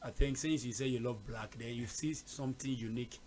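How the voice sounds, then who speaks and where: A man's voice: mid-range voice, fairly quick, darkish tone. A man hosting a casual interview, chatty, with frequent fillers and repeated words. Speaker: host.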